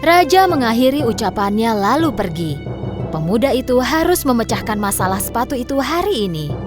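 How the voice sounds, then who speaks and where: Perempuan pendongeng yang ekspresif. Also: female voice, on the high side, a little fast, over music. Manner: expressive, lilting storytelling. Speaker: narrator. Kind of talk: scripted storybook narration.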